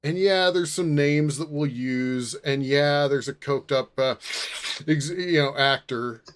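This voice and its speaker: A man in his forties hosting a casual film discussion, thinking aloud in a halting way with repeated starts. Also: on the high side, slight background noise. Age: 40s. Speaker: podcast host.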